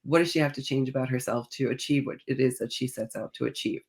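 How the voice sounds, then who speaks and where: A woman speaking as a guest author in a conversational interview, thinking aloud as she tells stories in an animated manner. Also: a bass voice, quick pace. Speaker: guest author discussing own novels.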